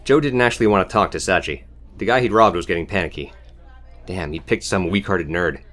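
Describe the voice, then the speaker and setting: Deep male voice narrating an audiobook story, scripted fiction read. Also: brisk, fairly bright tone.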